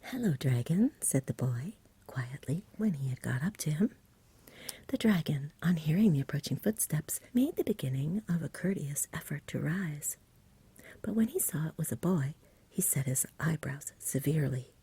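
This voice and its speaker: A woman reading a story aloud in a gentle, hushed, unhurried ASMR style, mostly in a breathy whisper. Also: sits low, lively intonation. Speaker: storybook reader. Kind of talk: soft-spoken ASMR bedtime story reading.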